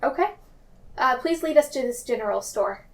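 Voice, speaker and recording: A woman player improvising in character in a tabletop game, polite and measured. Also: high-pitched, expressive, recorded from a distance, slight background noise.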